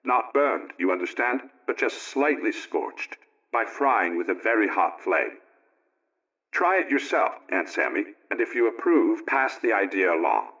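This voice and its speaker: A man playing an earnest, folksy middle-aged family man, reading out his letter in a deliberate, plain-spoken way for 1920s radio. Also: lowish voice, sing-song, bright, forceful tone, heavily acted. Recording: dull, lo-fi old radio recording.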